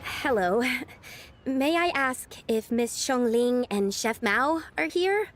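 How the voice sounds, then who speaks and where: A woman's voice: a little high, expressive, slow. A woman voicing an earnest, polite young scholar in a video game, sincere and a little timid. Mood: nervous, apologetic. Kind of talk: English video game voice acting.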